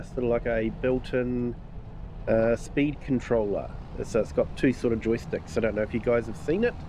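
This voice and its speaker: A man with a New Zealand accent chatting through a game live stream in a relaxed, rambling way with lots of fillers, his nose a bit stuffed up. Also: mid-range voice, background noise. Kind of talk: casual live-stream commentary.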